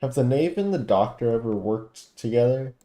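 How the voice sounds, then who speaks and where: A man's voice: mid-range voice, expressive, normal speed, mellow, echoey room. A man giving casual game playthrough commentary, with offhand reactions and dialogue read aloud. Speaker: gaming content creator. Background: game music.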